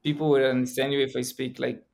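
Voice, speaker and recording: A young man with an Israeli accent, a podcast guest chatting casually, halting and restarting often. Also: mid-range voice, flat delivery, vocal fry, darkish tone. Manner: halting, many restarts and fillers.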